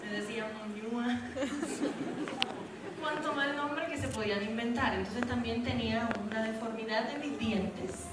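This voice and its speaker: A young woman giving a lively, humorous church testimony and speaking directly to the congregation. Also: on the high side, normal speed. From raised in Puerto Rico. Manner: lively storytelling, addresses the audience directly, with humor. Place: church hall. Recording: echoey hall, distant mic, noisy.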